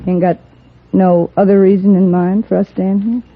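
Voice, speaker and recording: A woman's voice: mid-range voice, little pitch movement, normal speed, natural voice. An American actress playing a tough, proud young frontier woman in a 1950s radio western, with blunt, folksy speech. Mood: wary, curious. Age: young adult. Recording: narrow-band, muffled 1950s radio recording.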